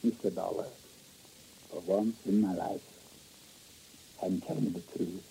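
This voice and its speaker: A man's voice: low voice, steady tone, measured pace, creaky, mellow, slight background noise, rough recording. An actor playing a frightened, shifty male informant who pleads and stammers in a 1950s radio drama. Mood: pleading.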